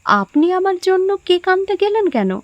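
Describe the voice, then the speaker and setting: A friendly young working woman in an audio drama. Her voice sits high and is recorded very dry. She speaks kindly, reassuring and gently teasing whoever she addresses. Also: sing-song, normal speed, dry recording, muffled recording. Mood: surprised. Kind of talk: audio horror story acting.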